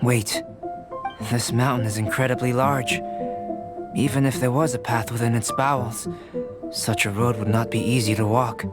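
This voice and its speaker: Music plays strongly behind a young alchemist played by a man in a video game. He is composed and scholarly, choosing formal words with measured care and cool reserve. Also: medium pitch, fairly even intonation, natural voice. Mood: calm, measured. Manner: formal, measured, deliberate phrasing. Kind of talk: scripted video game dialogue.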